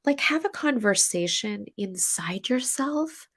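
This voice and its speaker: A woman's voice: medium pitch, animated, takes it slowly, a bit bright. An American woman with a stuffy nose from a cold, chatting as an interview guest and telling a long story full of fillers and restarts. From USA. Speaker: podcast guest, coach.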